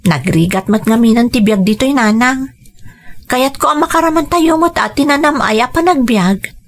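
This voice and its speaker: An actress as an earnest, higher-voiced young daughter. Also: female voice, lively intonation, normal speed. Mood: earnest, hopeful. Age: young adult. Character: young daughter, earnest, hopeful, respectful to parents. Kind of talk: Ilocano radio drama acting.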